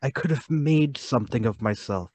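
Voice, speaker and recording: An actor playing a timid, troubled, lonely man confessing to murder in a radio-play table read, halting, pleading and intense. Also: mid-range voice, expressive, darkish tone, lightly acted. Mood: desperate, pleading.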